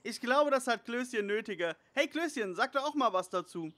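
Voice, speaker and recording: An actor playing a brainy teenage boy detective in a parody radio play, with an excitable, wordy, cheeky manner. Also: medium pitch, normal speed, natural voice. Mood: teasing. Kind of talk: parody detective audio drama.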